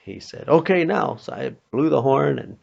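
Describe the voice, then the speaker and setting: Creaky-voiced man telling a story. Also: mid-range voice, expressive, moderate pace, a little mellow. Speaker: storyteller, pastor. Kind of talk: informal spoken storytelling with acted-out dialogue. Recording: dull, muffled lo-fi recording.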